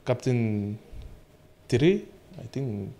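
A man, answering interview questions in halting, simple English with many fillers. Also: mid-range voice, lively intonation, dark tone. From Cameroon. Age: 20s. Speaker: guest arm wrestler.

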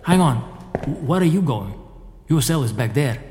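A man performs an anxious male prison worker for an audio drama. He is guarded and earnest at a medium pitch. The voice rings in a long, reverberant hall. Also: animated, moderate pace, noisy background, natural voice. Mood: indignant, anxious. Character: anxious, guarded male prison employee secretly plotting escape.

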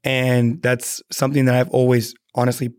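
A man's voice: medium pitch, monotone, a little mellow, no room sound. Earnest man in a dry studio. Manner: earnest, halting with restarts. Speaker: podcast guest. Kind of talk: casual podcast chat.

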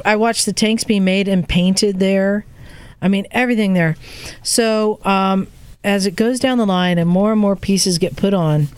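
A woman's voice: medium pitch, a little mellow, smooth. A woman speaking as a podcast host, recounting a trip in a casual, enthusiastic storytelling way.

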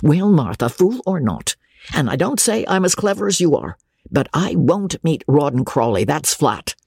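Ranting country parson voiced by the narrator, gravelly, pitch jumping all over. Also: very animated, moderate pace, a little mellow, dry recording, clean studio sound, exaggerated voice. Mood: stubborn. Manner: indignant, ranting. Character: blustering country rector, middle-aged man.

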